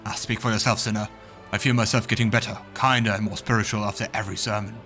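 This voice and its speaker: A man's voice: on the low side, steady tone, fast talker, pushed and bright. A man voicing a pious, indignant devotee in a fantasy game, scolding and self-righteous. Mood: indignant piety. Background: game music.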